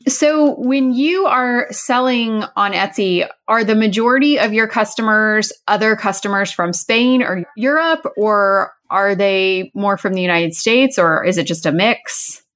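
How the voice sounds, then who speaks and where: A woman's voice: medium pitch, a little slow, hardly any pauses, fairly bright tone. Female podcast host asking questions. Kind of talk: casual interview questions.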